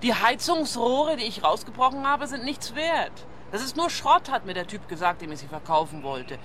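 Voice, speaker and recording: An actress playing a hostile young squatter woman in a radio play, speaking gruff, clipped street slang combatively. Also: a little high, expressive, moderate pace, pushed and bright, a little hiss or hum. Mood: defiant.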